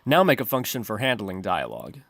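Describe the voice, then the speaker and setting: A man's voice: on the low side, huge pitch swings, fairly quick, vocal fry, a bit bright, dry recording. A man narrating an instructional screencast as a tutorial host, explaining methodically step by step. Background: background music.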